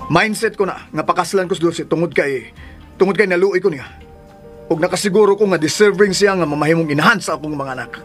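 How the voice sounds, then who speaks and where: A man's voice: sits high, fast talker, over music, close to an everyday voice. A man acting in a Cebuano radio drama from the Philippines as an exasperated, defiant man, argumentative and emphatic. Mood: resolute, exasperated. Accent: Filipino, Cebuano. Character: exasperated, defiant man torn between two women.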